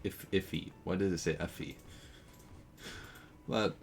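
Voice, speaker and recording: A man's voice: sits low, steady tone, a little slow, vocal fry, a little hiss or hum. A young man from the Netherlands with a Dutch accent, chatting playfully and rambling while streaming a game, laughing often. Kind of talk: casual gaming stream chat.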